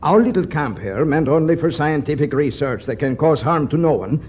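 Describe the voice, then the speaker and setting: A man playing an eager, fussy middle-aged archaeologist with an excitable academic manner in a 1950s radio adventure. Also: a little high, moderate pace, breathy edge. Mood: grave. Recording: dull, noisy 1950s radio recording.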